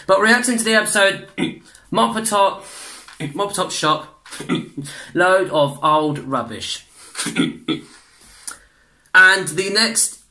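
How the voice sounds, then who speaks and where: A young man, chatting in a rambling way for a reaction vlog in his bedroom, restarting sentences, clearing his throat and swearing often. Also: on the high side, bright, forceful tone. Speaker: vlogger reacting to videos. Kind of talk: casual reaction vlog chat. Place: small bedroom indoors.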